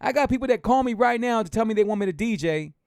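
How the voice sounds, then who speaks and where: A man's voice: sits high, fast talker, smooth, no room sound, studio quality. A man nearing fifty gives casual, rambling motivational talk to his live viewers, repeating himself and urging them on. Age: 40s. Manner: rambling, repetitive, animated and encouraging. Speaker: motivational speaker.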